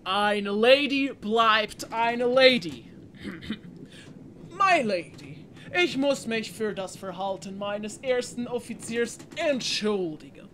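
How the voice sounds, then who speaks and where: Slow, suave gentleman pirate captain, played by a woman. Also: on the high side, lively intonation. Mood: stern, courtly. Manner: courtly, formal, hesitant fillers. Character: suave, gallant, veiled-menacing male pirate captain. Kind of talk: audio drama acting.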